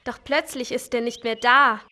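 A woman's voice: on the high side, steady tone, normal speed. A woman voicing Lolli, a cheerful and friendly young girl in a children's game, speaking eagerly and sweetly. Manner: bright, eager, sweetly pleading.

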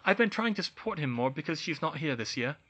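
Man voicing young girl letter-writer, raised pitch, bright tone, shy, earnest, reassuring, storybook character. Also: animated, fast talker, slightly rough, dull audio. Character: warm, shy young schoolgirl writing home.

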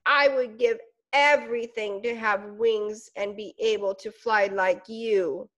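A woman's voice: medium pitch, fairly even intonation, slow pace, close to an everyday voice. A woman voicing an impatient little female caterpillar in a storybook, whiny and pleading.

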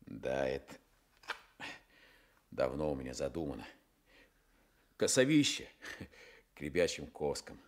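A man's voice: rumbling low, sing-song, a little slow, long pauses, full character voice. An actor playing a brooding, blunt village man with earthy colloquial speech in a Soviet radio drama. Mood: weary, dry. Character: brooding, blunt, guilt-ridden village man recovering from illness.

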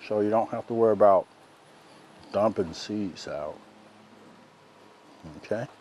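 Soft-spoken man cooking outdoors. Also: fairly low pitch, measured pace, slight background noise. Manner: soft-spoken, folksy and relaxed. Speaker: chef host. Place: outdoors by a lake in woods.

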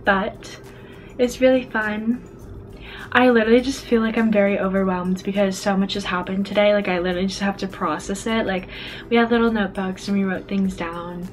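A woman's voice: mid-range voice, fairly even intonation, a little fast, faint music behind, rough recording. A young woman in her dorm room, chatting bubbly to a handheld camera at arm's length, full of "like" fillers. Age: young adult. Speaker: vlogger. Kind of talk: casual vlog chat. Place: small college dorm room, indoors.

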